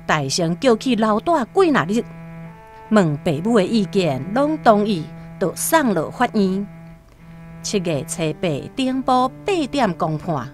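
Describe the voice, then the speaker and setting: A woman with a Taiwanese accent narrating a literary radio drama as an expressive storyteller. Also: mid-range voice, unhurried, faint music behind.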